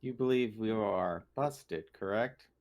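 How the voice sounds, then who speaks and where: A man voicing a literal-minded, coolly defiant android in a tabletop game, with formal, halting, precise speech. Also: fairly low pitch, darkish tone.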